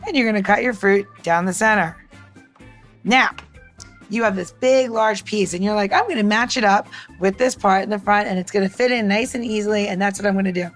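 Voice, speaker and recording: A woman's voice: medium pitch, brisk, faint music behind. A chatty woman co-hosting a podcast, talking casually and directly to the listener as if demonstrating. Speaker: podcast co-host giving bar tech tips.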